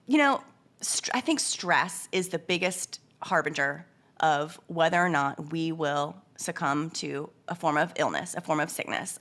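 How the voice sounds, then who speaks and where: A woman co-hosting a casual podcast, hoarse and sniffly from a summer cold, musing earnestly and talking with animated swings. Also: mid-range voice. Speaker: podcast co-host.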